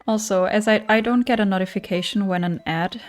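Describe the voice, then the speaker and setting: A woman's voice: mid-range voice, fairly quick. A young woman with a German accent, chatting casually on a livestream and answering viewer messages with frequent fillers. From German-speaking. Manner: chatty, thinks aloud with fillers. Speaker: streamer.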